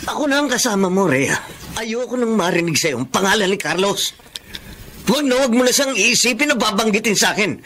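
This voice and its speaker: A man's voice: high-pitched, animated, brisk, pushed and bright. An actor playing a jealous, possessive man who talks firmly and demandingly in a radio drama. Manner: firm and demanding. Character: jealous, possessive man living with his partner. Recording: noisy ambient background. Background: noise.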